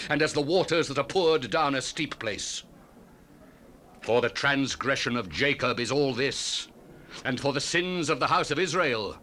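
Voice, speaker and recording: A man's voice: a little high, sharp tone. A man playing an impassioned Old Testament prophet of doom in a solemn, declamatory, theatrical scripture reading on a 1970s cassette dramatization. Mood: grave, accusing. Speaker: dramatized Bible reader.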